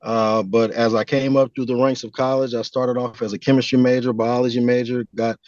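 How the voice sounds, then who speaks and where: A man's voice: medium pitch, little pitch movement, brisk, darkish tone, no room sound, dull audio. An American man from California giving earnest, explanatory answers as a guest in a conversational interview. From California, United States. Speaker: podcast guest.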